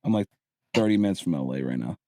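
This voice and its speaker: Man, early twenties, from Barbados, low pitch, rambling, casual swearing, podcast guest. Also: animated, quick pace, darkish tone, no room sound, studio quality. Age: early 20s. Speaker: guest content creator.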